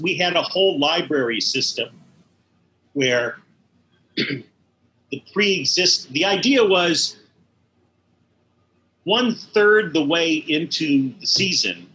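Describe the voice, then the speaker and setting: A man's voice: on the high side, bright, forceful tone, a little hiss or hum, low-quality recording. A man being interviewed as an animator, explaining his past work in careful detail as he recollects it.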